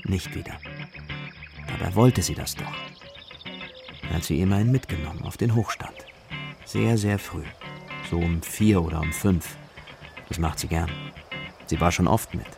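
A male narrator tells a children's radio story. His voice is low and gravelly with creak. Music and insect sounds play behind him. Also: moderate pace, pauses often.

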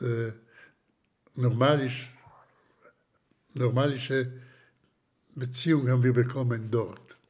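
An older man from Slovakia, giving slow, hesitant testimony in an interview with many pauses and restarts. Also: mid-range voice, a little mellow. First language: German as childhood language, long unused.

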